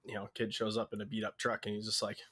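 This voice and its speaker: A young man from Connecticut, a guest on a podcast, answering in an easygoing way with many pauses and fillers. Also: lowish voice, monotone, pushed and bright. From Connecticut, USA. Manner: easygoing, many "um"s and "you know"s, laughs at himself. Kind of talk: casual interview answers.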